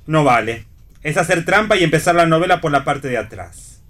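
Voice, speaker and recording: Man as radio-play armchair philosopher: wry, pompous, very animated intonation. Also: fairly high pitch, a little fast. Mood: sententious, wry. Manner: sententious, wry. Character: wry male philosophizing would-be psychic, teasing and theatrical. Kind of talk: read theatre for radio.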